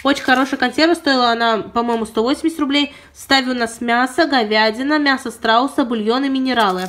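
A woman's voice: high voice, fast, a bit bright. A young woman with a sore throat, chattily reviewing pet products she bought, filmed at home. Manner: chatty, detailed, apologetic asides. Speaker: pet vlogger. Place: indoors at home.

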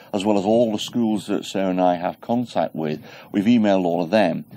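A man's voice: low voice, mellow, dry recording. A man, giving an earnest church update and urging people to pray, recorded on a lapel mic in a small studio. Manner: earnest, encouraging, pleading for prayer. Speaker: church holiday club leader. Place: small indoor studio set.

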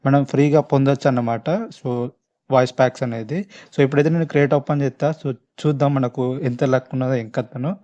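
A man's voice: mid-range voice, fairly even intonation, fast talker, mellow, dull audio. A man with a Telangana Telugu accent giving casual, chatty gameplay commentary to his viewers, speaking informally and directly. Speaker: gaming video host. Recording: faint background noise, clicking sounds.

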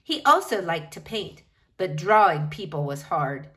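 A woman reading a picture book aloud to children in an expressive, animated way, speaking directly to her young listeners. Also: fairly low pitch, measured pace.

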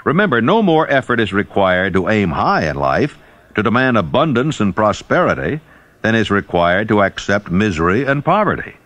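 A man reading an audiobook as scripted narration, with deliberate, emphatic phrasing. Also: fairly low pitch, big pitch swings, a bit bright, dry recording.

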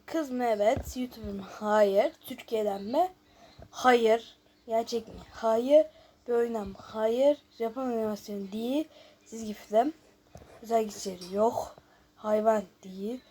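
A woman's voice: a little high, warm tone. A woman hosting a casual gameplay video, chatting and thinking aloud as she reads out questions and answers them. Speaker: YouTube host.

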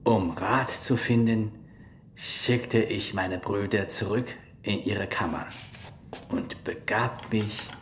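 An actor playing a solemn old Shaolin monk and mocking storyteller in a German radio play, often dropping into a whisper. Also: male voice, lowish voice, creaky, roomy sound, slight background noise, full character voice. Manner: slow, dramatic, often whispery delivery. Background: music.

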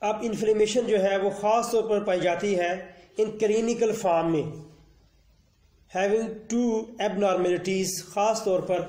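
Slow, high-voiced vet lecturing. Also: male voice, fairly even intonation, warm tone, echoey room. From Pakistan. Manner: slow, measured explanation mixing Urdu and English terms. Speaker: veterinarian. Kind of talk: instructional lecture on livestock disease.

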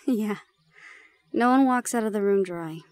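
A woman playing a shy, gentle young gorgon in an intimate audio roleplay, speaking softly and haltingly. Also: medium pitch, dry recording, close to an everyday voice. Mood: reflective, confiding. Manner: soft, hesitant, halting.

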